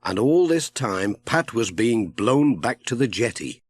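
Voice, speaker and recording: A man narrating a children's audiobook in a measured, expressive storytelling style. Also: mid-range voice, husky, no echo.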